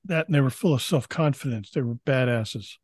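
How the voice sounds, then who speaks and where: A man's voice: mid-range voice, brisk, no echo, studio quality. A man chatting casually as part of a group discussion. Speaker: discussion participant.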